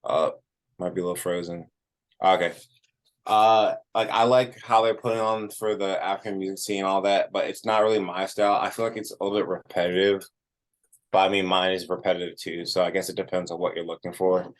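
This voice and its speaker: A man's voice: low voice, flat delivery, fairly quick. A man, a musician being interviewed, talking casually and informally with "like" dropped in often. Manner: informal, frequent "like" as filler.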